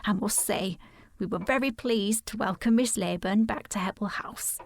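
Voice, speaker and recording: A husky, creaky-voiced genteel housekeeper. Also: female voice, medium pitch, moderate pace. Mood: courteous. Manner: polite, formal, deferential. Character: older female housekeeper, genteel, gossipy but deferential. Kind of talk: audio drama acting.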